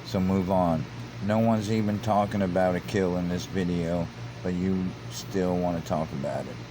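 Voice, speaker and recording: A man with a fairly low voice talks through online comments in a loose, rambling way, stumbling and repeating words as he builds to emphatic outbursts. A steady hum of air conditioning sits clearly under the recording. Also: normal speed. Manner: repeats words and restarts, grows heated and emphatic. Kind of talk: casual commentary.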